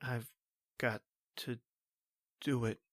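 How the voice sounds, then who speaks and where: A woman's voice: a bass voice, flat delivery, slow, dry recording, exaggerated voice. A woman playing a stoic, protective male police officer in an old-time radio horror drama, brusque and melodramatic. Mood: entranced, strained.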